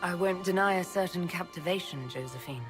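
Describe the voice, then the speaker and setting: A self-assured, romantic heroine voiced by a woman leads a fantasy game with a lowish voice. She is courtly, composed and direct, with music clearly playing behind her. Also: a little fast, natural voice. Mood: tender, teasing. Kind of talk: video game cut-scene acting.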